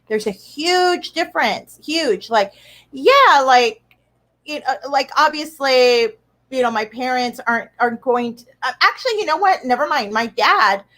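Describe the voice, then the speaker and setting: A woman filmmaker talking as a guest in a casual interview, thoughtful and pausing often with "um.". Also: high voice, expressive, a bit bright. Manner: thoughtful, hesitant with frequent "um"s.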